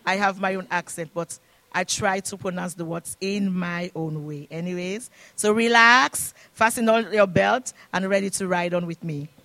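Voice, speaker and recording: A woman's voice: lowish voice, moderate pace, a bit bright. An older woman with a foreign accent, a grandmother and guest speaker, praying fervently and preaching at a church service. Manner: fervent, repetitive prayer invocations. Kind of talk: prayer and sermon. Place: church sanctuary. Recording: echoey church room with music.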